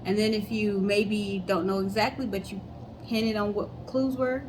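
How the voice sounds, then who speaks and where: Woman, casual storytelling co-host, chatty, conversational, mid-pitched, background room noise. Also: fairly even intonation, normal speed. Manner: chatty, conversational, addressing viewers directly. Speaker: co-host of a storytelling guessing show. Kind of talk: casual storytelling chat to viewers.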